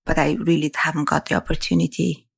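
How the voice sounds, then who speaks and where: A woman from Germany with a German accent, a guest telling her story in a casual interview, speaking haltingly and often restarting her phrases. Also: sits low, flat delivery, creaky, breathy edge. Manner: halting, restarts phrases, frequent agreeing yeahs. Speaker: guest, real estate investor.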